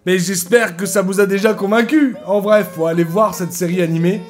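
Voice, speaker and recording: Cheeky comedian, music behind. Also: male voice, sits high, fairly even intonation, brisk. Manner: crude, cheeky wisecracks. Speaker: comedian reacting to cartoon episodes. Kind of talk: casual joking commentary.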